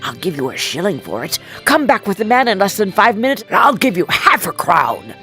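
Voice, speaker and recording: A woman voicing an emotional old miser in a storybook, repentant and excitable, with emphatic exclamations. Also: mid-range voice, brisk, faint music behind. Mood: excited, generous. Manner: exclamatory, emphatic pleading and rejoicing. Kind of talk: storybook character voice.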